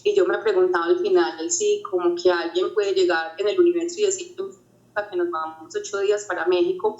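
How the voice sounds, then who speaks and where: A woman's voice: fairly low pitch, a little mellow. A woman giving an earnest, reflective motivational talk about her own life, often repeating her phrases. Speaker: motivational speaker. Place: home during quarantine. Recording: roomy, dull lo-fi sound.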